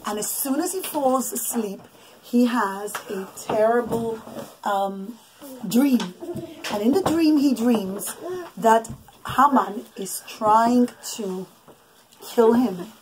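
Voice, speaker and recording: A middle-aged woman, a mother telling her children a bedtime story in an expressive, emphatic, instructive way, in a bedroom. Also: a little high, slow pace. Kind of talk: animated storytelling with asides to children. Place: small children's bedroom, indoors. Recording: clicky home recording with background noise.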